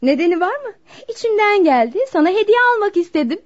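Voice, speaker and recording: A carefree, impatient young girlfriend in a radio play. The pitch is high and very animated, full of coaxing and pouting. The sound is dull and lo-fi. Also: fast. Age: young adult. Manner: coaxing, petulant, dismissive. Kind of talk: Turkish radio theatre acting. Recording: dull lo-fi radio drama recording.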